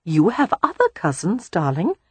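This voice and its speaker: Woman voicing a gentle caring sister. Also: on the low side, wildly swooping pitch, moderate pace, slightly rough, no room sound, exaggerated voice. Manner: soft, kindly.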